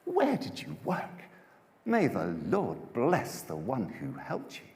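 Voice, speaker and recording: A man's voice: medium pitch, huge pitch swings, slow pace, creaky, darkish tone, heavily acted. A man voicing a caring, directive older mother-in-law in a dramatised Bible story, exclaiming and instructing. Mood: excited, curious. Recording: echoey hall reverb.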